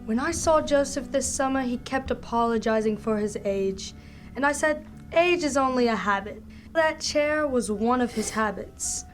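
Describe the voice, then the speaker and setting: A woman playing a talkative, genteel, effusive literary hostess in a radio comedy. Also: fairly high pitch. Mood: opinionated. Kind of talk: radio drama acting.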